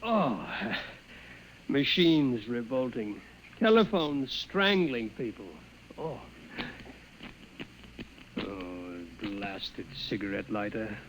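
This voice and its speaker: A man's voice: medium pitch, sing-song, very slow, long pauses, heavily acted. An actor playing a placating, patronizing male asylum doctor in a 1950s radio drama. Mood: muttering, irritated. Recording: noisy old radio recording.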